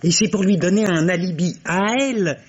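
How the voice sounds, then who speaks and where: An earnest police assistant with a voice on the higher side. He is deferential, halting and inquisitive, in vintage French radio mystery style. The recording is dull. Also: husky. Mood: puzzled, curious. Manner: halting, puzzled questioning.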